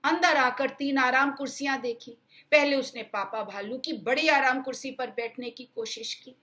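A woman's voice: sits high, normal speed, sharp tone. An older woman in her sixties telling a children's story with dramatic emphasis, speaking directly to young listeners as host. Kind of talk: expressive bedtime storytelling for children.

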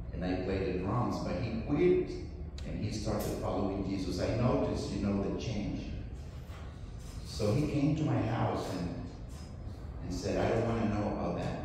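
A man's voice: lowish voice, darkish tone. A Salvadoran missionary in his forties with a Spanish accent, telling his testimony in a church hall on a handheld mic. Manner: earnest, slow storytelling with light laughs. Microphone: handheld microphone picked up from afar. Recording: echoey hall, distant camera, noise.

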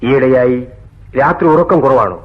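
An actor playing a composed, stern male prison doctor with a measured, formal, admonishing delivery in a radio drama. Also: mid-range voice, expressive, lightly acted. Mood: calm advising. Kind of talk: theatrical radio drama acting. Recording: dull, lo-fi radio recording.

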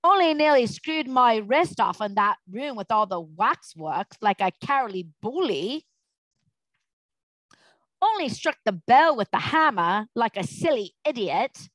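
A woman voicing a sensible, sharp-tongued young schoolgirl who speaks with cold, indignant sarcasm in a storybook reading. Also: mid-range voice, big pitch swings, moderate pace, no echo, lightly acted, poor audio. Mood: sarcastic.

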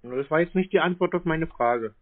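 A man's voice: a little high, a little fast. A man chatting casually as a customer on a phone call, persistently putting questions. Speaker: scambaiter posing as customer. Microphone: telephone call. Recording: narrow phone-like call audio.

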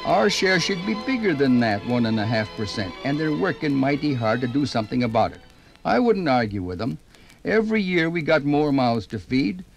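A man's voice: medium pitch, big pitch swings, moderate pace, creaky, rough recording. An actor playing a folksy, rambling older small-town man, narrating a 1950s radio program. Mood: folksy, musing. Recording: 1950s radio record, music behind.

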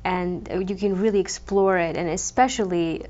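A woman's voice: fairly low pitch, normal speed, a little mellow. A young woman from Croatia, a classical guitarist, answering interview questions thoughtfully with frequent ums and restarts. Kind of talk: interview conversation. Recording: dull lo-fi TV recording.

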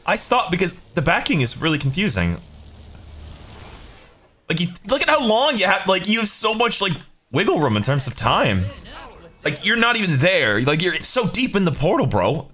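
A man's voice: high voice, big pitch swings, normal speed, sharp tone. A young man giving casual, jokey gaming commentary, slangy and sweary, talking to himself and his viewers. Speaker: video game streamer.

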